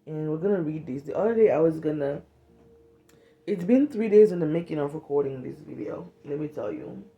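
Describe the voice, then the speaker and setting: Frank, animated woman from Cameroon. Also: sits low, a little fast, highs cut off. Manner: frank and animated, swearing freely, acts out little quoted lines. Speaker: vlogger. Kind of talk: casual talk to camera on trauma healing. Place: small bedroom indoors. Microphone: phone camera at arm's length.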